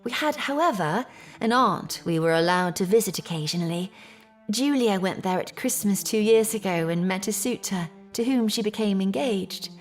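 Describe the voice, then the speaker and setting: An actress playing a troubled young Victorian gentlewoman, telling her story formally in an audio drama. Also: mid-range voice, expressive, moderate pace, soft background music, close to an everyday voice. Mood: sorrowful. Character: distressed Victorian English gentlewoman recounting family misfortune. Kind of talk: period audio drama acting, narrative monologue.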